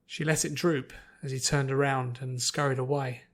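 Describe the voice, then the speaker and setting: Measured man narrating fiction, medium pitch. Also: flat delivery. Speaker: audiobook narrator.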